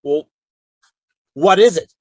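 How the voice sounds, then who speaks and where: Man playing a high-voiced, stubborn frontier lawman. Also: takes it slowly, darkish tone, no room sound. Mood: exasperated. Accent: American. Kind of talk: western radio drama acting.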